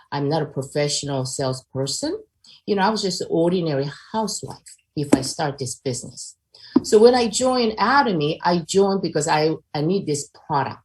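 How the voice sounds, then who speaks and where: An older woman from South Korea with a Korean accent, chatting through a personal testimony on a video call with many fillers. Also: low voice. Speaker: presenter.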